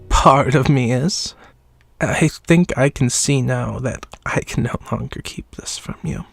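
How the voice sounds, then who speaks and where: A man's voice: mid-range voice, slow pace, vocal fry, dry recording, clean studio sound, exaggerated voice. An actor playing a sickly, dying man, bitter and self-loathing, who laughs wryly and drops to whispers, in a horror audio drama. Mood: confessing. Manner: wry, mocking laughs, sinking to whispers. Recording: soft music underneath.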